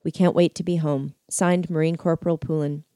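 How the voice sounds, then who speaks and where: A woman's voice: low voice, little pitch movement, brisk, dark tone, dry recording, natural voice. A woman voicing an earnest mother of a deployed soldier, reading letters aloud to a crowd.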